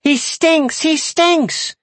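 Man doing shrill, jeering farm children. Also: very slow, a bit bright, no echo, heavily acted. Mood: jeering, alarmed. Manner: howling, taunting.

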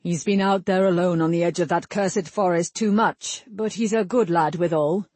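A woman's voice: on the low side, fairly even intonation, moderate pace, a little mellow, dry recording, close to an everyday voice. A woman voicing a hearty, loyal male warrior lord who talks frankly in archaic phrases in a fantasy audiobook. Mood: frank, admiring.

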